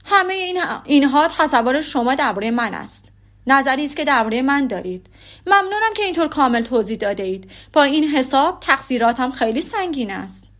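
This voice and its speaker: A woman voicing a proud, stiff young gentleman in an audiobook, speaking loudly, emphatically and formally. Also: on the high side, lively intonation. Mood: haughty.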